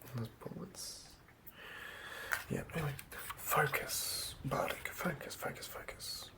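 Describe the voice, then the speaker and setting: An ASMR host and game master speaking in a breathy whisper, rambling unscripted and thinking aloud in a hushed way. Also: medium pitch, a little slow, heavy background noise.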